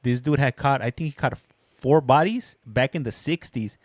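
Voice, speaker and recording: A man's voice: medium pitch, normal speed, dry recording. Easygoing male host, dry close sound. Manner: easygoing, stumbles and restarts. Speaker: show host. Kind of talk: casual call-in show hosting.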